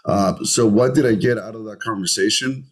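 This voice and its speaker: A man's voice: lowish voice, moderate pace. A middle-aged man with a Colombian accent, talking earnestly as an interview guest and often repeating words as he searches for phrasing. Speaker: podcast guest, entrepreneur. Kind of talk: conversational interview.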